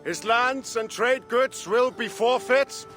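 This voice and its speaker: A commanding Viking jarl, with a bright, forceful voice pitched fairly high. He is stern and measured, passing judgment like a ruler in a dramatic game cutscene. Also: faint music behind, full character voice. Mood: stern anger. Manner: measured, authoritative. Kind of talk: dramatic video game acting.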